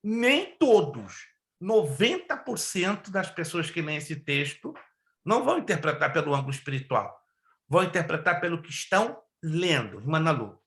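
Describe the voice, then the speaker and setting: A man's voice: on the high side, sing-song, normal speed, a bit bright, roomy sound. A man, a pastor raised in Brazil with Hebrew and Spanish as first languages, teaching a Bible class and often drawing out key words and asking the class questions. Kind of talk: online Bible class lecture.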